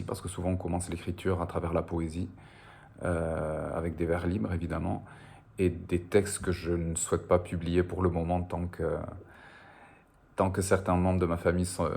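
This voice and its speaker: A man's voice: low voice, creaky, darkish tone. A man from the French Basque border, a native Spanish speaker, answering interview questions about his writing in a hesitant, reflective way with frequent fillers and repeated words. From French Basque Country, on the border. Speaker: novelist being interviewed.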